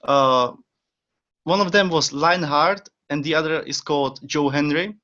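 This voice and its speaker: A man's voice: on the high side, unhurried, bright, forceful tone. A young man from the Czech Republic with a Czech accent, speaking English as a second language as an eager podcast guest, full of fillers and short laughs. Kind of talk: casual interview chat. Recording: dull lo-fi sound.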